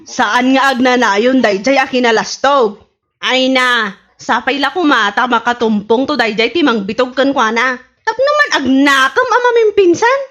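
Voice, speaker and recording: A woman's voice: sits high, animated, moderate pace, a bit bright, dull audio. A woman playing an exasperated, scolding village neighbour in an Ilocano radio comedy drama, speaking with an Ilocano accent. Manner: scolding, complaining.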